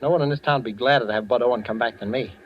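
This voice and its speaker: A man's voice: mid-range voice, racing, close to an everyday voice. An actor playing a suspicious, hard-nosed young American man, blunt and insistent, in 1940s radio drama style. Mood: accusing. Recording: dull old radio recording, faint noise.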